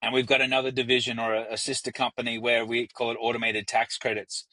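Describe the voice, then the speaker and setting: An Australian man with an Australian accent, talking as a podcast guest in a quick, energetic way and slipping into the voices of people he quotes. His voice sits a little high and rings bright and forward. The sound is dry and close, with no room in it. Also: no echo, low-quality recording. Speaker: guest, business founder. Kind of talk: casual podcast interview.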